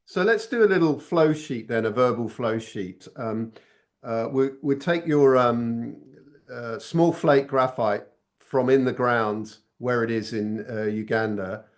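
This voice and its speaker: A man's voice: medium pitch, a little slow. A man speaks as the host of an informal business interview. He puts his questions conversationally, and he tends to feel his way hesitantly toward the point.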